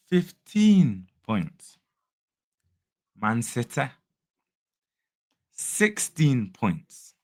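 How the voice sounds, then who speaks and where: A young man whose voice is hoarse and fading hosts a casual football reaction vlog. He talks in a chatty, upbeat way and uses plenty of fillers. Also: medium pitch. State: losing his voice. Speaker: football fan channel host.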